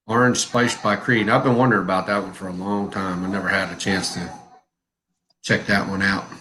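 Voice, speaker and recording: Laid-back low-voiced man in his forties. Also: fairly even intonation, bright, forceful tone, slight background noise, rough recording. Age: 40s. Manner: laid-back, laughs often, drawn-out storytelling. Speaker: co-host of a live chat stream. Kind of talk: casual live-stream chat.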